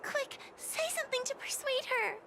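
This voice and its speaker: Very high-pitched, timid anxious girl. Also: normal speed, creaky, background noise. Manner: fretful, polite, earnest. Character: timid, anxious, earnest young girl judge. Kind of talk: anime-style game cutscene acting.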